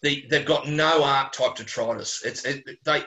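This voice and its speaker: An Australian man, a geologist answering questions informally on a webinar, speaking bluntly and colloquially with animated digressions. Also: mid-range voice, normal speed. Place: small closed room at home or office, on a video call.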